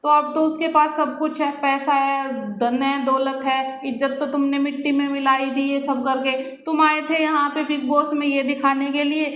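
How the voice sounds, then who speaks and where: A woman's voice: high-pitched, level tone, normal speed, hardly any pauses, fairly bright tone, roomy sound. A woman giving unscripted opinion commentary in a scolding, argumentative way, often with rhetorical questions. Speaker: commentator on reality show gossip. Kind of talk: unscripted opinion commentary addressed to viewers and contestants.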